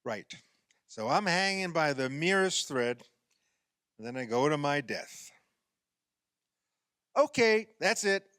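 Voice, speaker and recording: A man's voice: a little high, sing-song, slow, pauses often, a bit bright, clean tone, no echo, clean studio sound, heavily acted. A man playing a sardonic husband with wounded pride in a comedic radio play, sarcastic and dramatic. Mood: wry, defeated. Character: sardonic husband, sore loser at backyard basketball.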